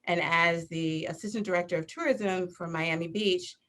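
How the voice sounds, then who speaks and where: A woman from Detroit speaks over a video call with a somewhat low voice. She talks in a gracious, measured way, with a few hesitant starts. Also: steady tone, a bit bright. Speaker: panelist. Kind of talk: introducing herself on a video call.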